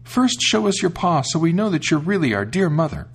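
A man voicing frightened young goat kids in a fairy tale, high and pleading. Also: very animated, brisk, breathy edge, heavily acted.

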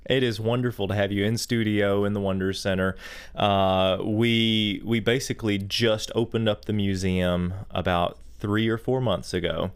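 A man's voice: fairly low pitch, steady tone, normal speed, clean tone. A man hosting a studio talk show on faith, speaking in an emphatic, preacher-like way. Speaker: host.

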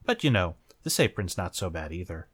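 A man's voice: low voice, sing-song, fairly quick, a bit bright, breathy edge, full character voice. A man voicing a kind, bold teen girl. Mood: playful.

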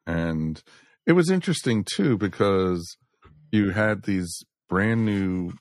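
Man, very low pitch, casual co-host chat, dry recording with no room sound. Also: lively intonation, takes it slowly, dry recording. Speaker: podcast co-host reviewing comics.

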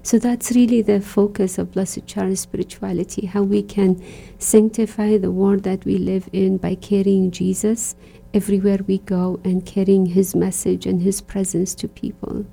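A woman from Iraq, a religious sister, talking in an interview with a very dark, gravelly voice that sounds slightly husky. Her delivery is earnest and reflective, full of soft fillers and small pauses. Her pitch stays flat and even. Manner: reflective, earnest, many fillers like "you know". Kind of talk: conversational interview.

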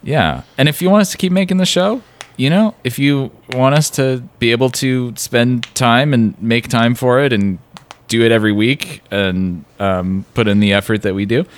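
A man's voice: medium pitch, normal speed. A man co-hosting a podcast, chatting casually with a dry, joking manner into a close mic. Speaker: podcast co-host.

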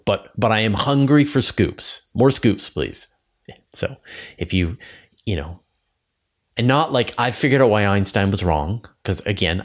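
Male podcast host, low voice, casual science-news talk, thinking aloud with eager emphasis.

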